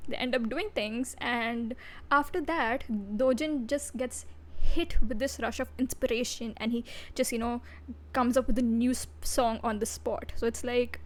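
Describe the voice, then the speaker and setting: High-voiced woman, blunt and profane. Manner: blunt, profane, with giggly asides. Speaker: VTuber reviewer. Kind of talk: casual livestream review.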